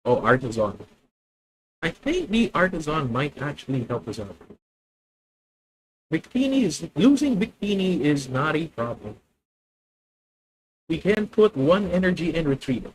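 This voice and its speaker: A young man with a Filipino accent plays a card game live and talks through every move as he makes it. He often stops to correct himself and sometimes turns to speak to his viewers. His voice sits fairly high for a man. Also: very animated, unhurried, pauses often, low-quality recording. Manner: thinking aloud, self-correcting, addresses viewers. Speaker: streamer. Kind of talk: live gameplay commentary.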